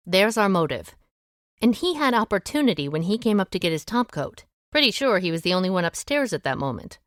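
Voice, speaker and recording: Lively shrewd woman sleuth played by an actress. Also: medium pitch, no echo, studio quality, natural voice. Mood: musing, decisive. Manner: brisk, wry, deductive. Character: shrewd, take-charge amateur woman sleuth. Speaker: lead actor in an audio drama. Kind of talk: audio drama acting, detective dialogue.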